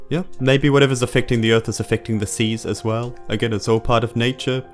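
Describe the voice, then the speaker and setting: A man's voice: mid-range voice, little pitch movement, fast, faint music behind. A man with a New Zealand accent playing in a tabletop podcast, musing and joking. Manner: musing, joking, laid-back. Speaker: player. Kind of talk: casual podcast chat.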